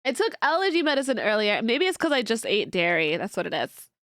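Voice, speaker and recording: A woman's voice: fairly high pitch, very animated, fairly quick, fairly bright tone, no room sound, clean studio sound. Congested, nasal young woman streamer. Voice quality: nasal, stuffed-up voice. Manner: chatty and rambling, answering chat. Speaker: livestreamer. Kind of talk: casual stream chat while watching videos.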